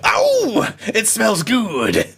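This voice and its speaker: A man voicing a suspicious, excitable old servant in a hammy radio play, drawing out his exclamations. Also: high voice, very slow, vocal fry, background noise, voice pushed up, full character voice. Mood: shrill, excited.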